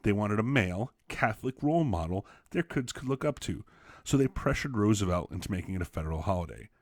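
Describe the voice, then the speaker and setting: A man reading an essay aloud as a teacher, expressively, with emphatic, deliberate phrasing. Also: lowish voice, lively intonation, dry recording. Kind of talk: expressive read-aloud narration for students.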